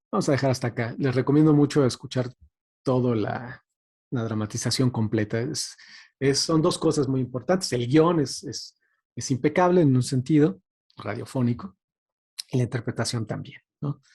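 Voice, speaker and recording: Mellow, husky Mexican man giving a lecture. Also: medium pitch. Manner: reflective, hesitant, seeking agreement with tag questions. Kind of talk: informal academic talk.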